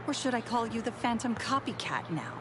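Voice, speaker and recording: An actress as a bitter, theatrical former phantom thief. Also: female voice, on the high side, expressive, fairly quick, crowd noise, close to an everyday voice. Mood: accusing, surprised. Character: bitter grown woman, former phantom thief, world-weary and defiant. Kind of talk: dramatic scripted video game voice acting.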